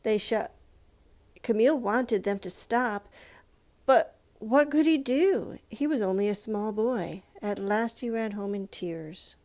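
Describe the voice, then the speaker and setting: A woman reading a children's picture book aloud in a measured, expressive storytelling manner, with pauses. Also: medium pitch. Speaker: storybook reader.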